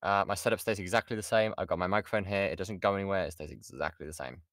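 Low-voiced British man, dry booth sound. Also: quick pace, fairly bright tone, dry recording. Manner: self-deprecating, chatty, reads questions aloud then answers. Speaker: voice actor giving a workshop. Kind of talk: informal online Q&A talk. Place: insulated padded home booth. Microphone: XLR studio microphone.

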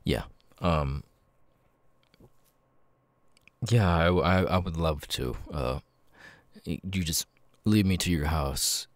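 A man playing a nervous, grateful young outcast with vocal tics in an ASMR roleplay, speaking haltingly and repeating words. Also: no echo. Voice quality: very deep voice with stuttering, sudden high-pitched tic outbursts.

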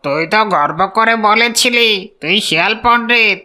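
Woman as a bright, plucky cartoon goose. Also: a little high, animated, normal speed. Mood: taunting. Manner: emphatic, scolding, preachy. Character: plucky, defiant goose leading a flock, lecturing and clever. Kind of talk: Bengali children's cartoon voice acting.

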